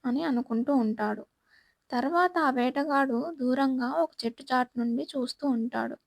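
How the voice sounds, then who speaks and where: A woman narrates a scripted children's story. Her voice is high, with noticeable creak and some huskiness, in a dry recording. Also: normal speed, dry recording.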